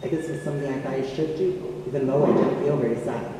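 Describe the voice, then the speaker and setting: Young man acting wistful abandoned son, reflective stage monologue, mid pitch, strong echo. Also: fairly even intonation, fast, natural voice. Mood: wistful, resigned. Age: teen or young adult. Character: wistful child of a father who left. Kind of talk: high school stage monologue. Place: large indoor hall, stage performance. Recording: very echoey hall, distant, noisy.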